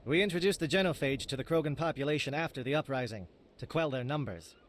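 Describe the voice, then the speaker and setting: An actor voicing a stern, resolute alien military captain in a video game, with a clipped, urgent, formal delivery. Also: male voice, on the high side, quick pace, natural voice. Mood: urgent briefing.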